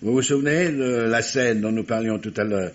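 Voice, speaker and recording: An actor playing a wily, insistent police commissioner in an old French radio mystery, phrasing hesitantly and repetitively, then with command. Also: male voice, mid-range voice, moderate pace, lightly acted. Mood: methodical probing. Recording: muffled vintage radio recording, faint noise.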